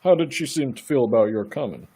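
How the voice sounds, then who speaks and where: County attorney, played by a man, husky mid-range voice, probing and in control, radio drama. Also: mid-range voice, lively intonation, fast, warm tone. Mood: probing, official. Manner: questioning, in control. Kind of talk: radio play acting.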